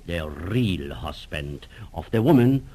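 Suave, low-voiced German spy professor. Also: male voice, very animated, warm tone, full character voice. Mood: cold triumph. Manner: formal, courteous, calculating, deliberate phrasing. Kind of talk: 1940s radio drama acting.

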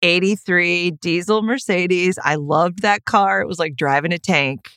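A woman's voice: fairly low pitch, measured pace, fairly bright tone, no echo, studio quality. A woman co-hosting a casual chat podcast, playful and self-mocking, laughing easily as she talks. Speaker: podcast co-host.

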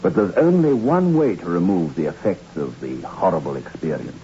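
An actor playing an earnest, formal and persuasive doctor in a 1960s radio drama. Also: male voice, low-pitched, animated, moderate pace, mellow. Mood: urgent, persuasive. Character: earnest, persuasive male country doctor with a secret. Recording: muffled, noisy old radio recording.

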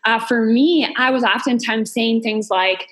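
A woman's voice: on the high side, a little slow, a bit bright. A middle-aged woman hosting a podcast, responding with emphatic enthusiasm and sharing her own experience. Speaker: podcast host. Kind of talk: casual interview chat.

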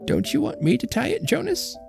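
A man's voice: very high-pitched, wildly swooping pitch, fairly quick, gravelly, soft background music, voice pushed up, full character voice. A man voicing a fretful, pleading old country wife with a plaintive, folksy manner of speech. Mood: anxious. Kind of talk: audiobook character voice.

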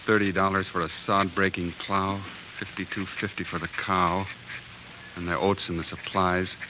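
An actor playing a determined young homesteader husband, earnest and plain-spoken in 1940s radio drama style. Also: male voice, low-pitched, little pitch movement, moderate pace, background noise, natural voice. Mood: worried.

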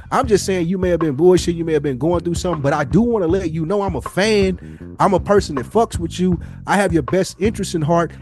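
A man's voice: high-pitched, a little mellow. A man hosting a podcast, talking casually and reflectively in an earnest, slangy, rambling way. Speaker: podcast host.

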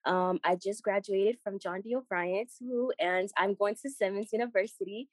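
A woman's voice: medium pitch, fairly even intonation, moderate pace, no echo, rough recording. A young woman from Boston, born in Haiti, giving an earnest, conversational personal account as an interview guest. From born in Haiti, grew up in Boston. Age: late teens, young adult. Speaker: scholarship recipient, podcast guest.

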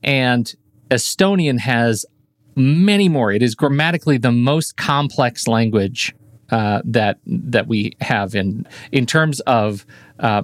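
A man's voice: medium pitch, dry recording, studio quality. Chatty male podcast co-host. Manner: chatty, self-correcting, laughs easily. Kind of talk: casual chat.

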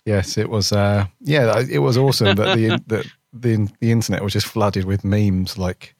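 Man, lowish voice, dry sound. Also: fairly even intonation, normal speed, no echo. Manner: jokey, chuckling, loose rambling. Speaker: podcast co-host. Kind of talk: casual chat.

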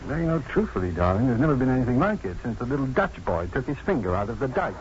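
A man's voice: mid-range voice, very animated, fast, darkish tone, lightly acted. A male actor playing a wry, unruffled, affectionate doctor in a 1950s radio drama, dry and teasing. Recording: muffled, noisy 1950s radio recording.

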